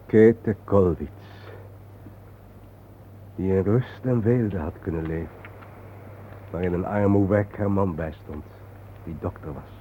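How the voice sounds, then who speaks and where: An actor playing a brooding, philosophical man in a radio drama, with grave, poetic, deliberate delivery. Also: low voice. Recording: narrow phone-like old radio recording, faint hiss.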